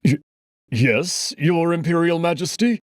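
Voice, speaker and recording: A nervous old wolf doctor, voiced by a man, timid and stammering in a visual novel. His voice is pushed up high and frightened, hesitating as he pleads. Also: lively intonation, unhurried, no room sound, studio quality.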